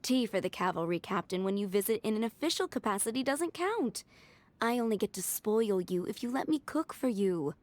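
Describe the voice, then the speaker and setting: An actress playing a doting head maid in a video game, motherly, gently teasing and politely coaxing. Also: mid-range voice, expressive, a little fast, slight background noise, natural voice. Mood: fond, coaxing. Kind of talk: video game character voice acting.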